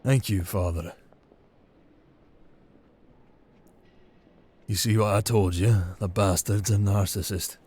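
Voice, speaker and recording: A man's voice: on the low side, fairly even intonation, normal speed, darkish tone, husky. A man playing a wry young noble heir and plotter in a fantasy audio drama, speaking drily and formally. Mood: quiet defiance.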